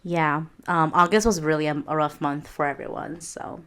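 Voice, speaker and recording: A woman's voice: deep voice, steady tone, moderate pace, fairly bright tone, clean tone. A young adult woman co-hosting a casual podcast, rambling with many fillers and restarts. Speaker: podcast co-host.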